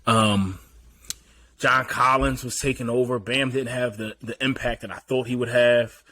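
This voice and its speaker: A man with a mid-pitched, bright, forward voice. He breaks down games in an easygoing, analytical way and often clears his throat. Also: steady tone, unhurried, slight background noise. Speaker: podcast host. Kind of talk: casual sports chat.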